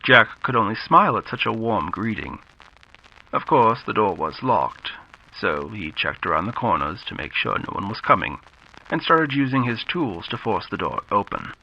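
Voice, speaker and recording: A man narrating a scripted horror story in the style of classic radio drama, with measured, dramatic delivery. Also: fairly low pitch, very animated, gravelly. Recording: muffled lo-fi audio with background noise.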